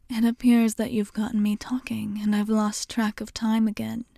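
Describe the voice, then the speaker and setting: A woman voicing a gentle, courteous vampire bartender in an ASMR roleplay, speaking in a soft, breathy, near-whispered voice with polite, formal reassurance. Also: a little high, no echo, clean studio sound, exaggerated voice. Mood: warm, teasing.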